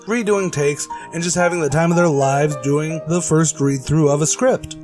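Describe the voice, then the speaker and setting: A man's voice: fairly high pitch, a little mellow. A man hosting a radio drama podcast, reading a scripted outro like an old radio announcer. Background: music.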